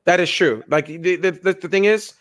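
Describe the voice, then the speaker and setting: A young man co-hosting a casual political chat, talking in an animated way and acting out the people he quotes. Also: normal speed, a little hiss or hum. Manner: animated, acts out quoted voices with pitch jumps. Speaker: podcast co-host.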